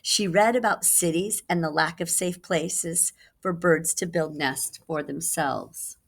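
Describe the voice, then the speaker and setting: A woman's voice: fairly low pitch, takes it slowly, gravelly. A woman reading a children's picture book aloud as a teacher would, putting dramatic emphasis on key words for young listeners. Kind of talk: expressive children's read-aloud.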